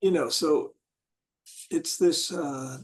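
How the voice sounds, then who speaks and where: A man in a casual group discussion, talking haltingly and searching for words with many restarts. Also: fairly high pitch.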